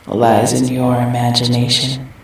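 A performer voicing a cold, cruel female entity of nothingness, speaking in slow, detached pronouncements. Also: medium pitch, level tone, slight background noise, lightly acted. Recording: heavy echo, distant from mic.

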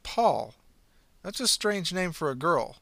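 A man's voice: fairly high pitch, big pitch swings, slow, vocal fry, no echo, pitched above the performer's own voice, heavily acted. A man voicing a stern adult female police constable in an audiobook, firm and commanding. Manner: firm, commanding, shouts when chasing. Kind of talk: audiobook character voice.

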